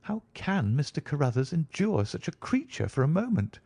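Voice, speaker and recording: A man's voice: fairly high pitch, big pitch swings, normal speed, darkish tone, slightly rough, no echo, pitched above the performer's own voice, heavily acted. A man voicing an anxious, indignant young genteel Victorian woman in a prim, earnest manner for an audiobook. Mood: disgusted, fearful. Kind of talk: audiobook character reading.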